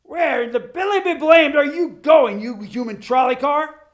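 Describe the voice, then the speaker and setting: A man's voice: very high voice, normal speed, voice pushed up. A blustering football captain, acted by a man, sputtering reproaches in a raised, strained voice. He gasps between phrases, winded from running. Storybook reading. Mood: angry. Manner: sputtering, scolding, then pleading. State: out of breath.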